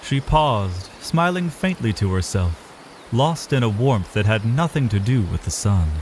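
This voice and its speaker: A man's voice: lowish voice, animated, measured pace, darkish tone, slight background noise. A man giving dramatic, scripted narration for a fantasy audio drama as its storyteller. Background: music.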